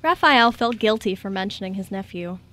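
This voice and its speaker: A woman's voice: mid-range voice, expressive, moderate pace, bright, forceful tone. A woman giving scripted story narration for a radio play.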